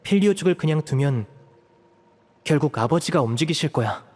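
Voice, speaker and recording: A man voicing a composed, protective young elder brother in an anime-style game, explaining things in a measured, serious way. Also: on the high side, lively intonation. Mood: troubled. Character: composed young magician, protective eldest brother, earnest strategist.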